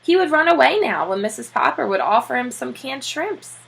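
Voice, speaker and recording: Bright, slightly husky woman reading aloud. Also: sits high, sing-song, normal speed, rough recording. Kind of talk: expressive children's storybook narration.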